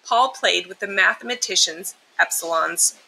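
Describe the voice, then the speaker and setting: A woman's voice: lowish voice, normal speed, poor audio. A woman reading a children's picture book aloud expressively, explaining and emphasizing things for young listeners. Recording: clear steady background noise.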